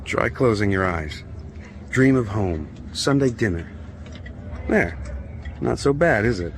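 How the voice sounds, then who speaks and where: An actor playing a resigned veteran prisoner in a radio drama, with a dry, low-key delivery. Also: male voice, expressive, slow pace, a little hiss or hum. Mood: resigned, coaxing. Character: world-weary, resigned veteran American prisoner.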